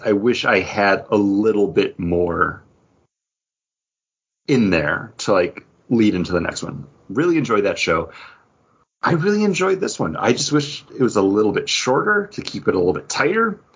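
A man hosting a podcast in a casual chat, rambling and halting, with "like" dropped in often. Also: fairly low pitch, sing-song, a bit bright, slightly rough, muffled recording. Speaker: podcast host.

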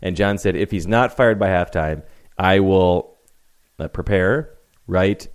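A man hosting a casual sports podcast, talking in a relaxed, hesitant way with lots of fillers. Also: low voice, slow, smooth. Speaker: podcast host.

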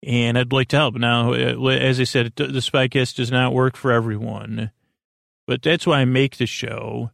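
Gravelly, low-key male podcast host. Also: flat delivery, a bit bright, no room sound, clean studio sound. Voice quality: gravelly, creaky. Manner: meandering, halting, often trailing off and backtracking. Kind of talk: rambling bedtime podcast monologue, addressed to the listener.

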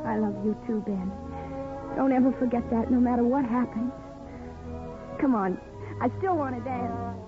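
A woman's voice: a little high, moderate pace, long pauses, music in the background, natural voice. An actress playing an emotional, lonely small-town wife and mother in a 1950s radio drama. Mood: tender, brave. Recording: tinny 1950s radio broadcast recording.

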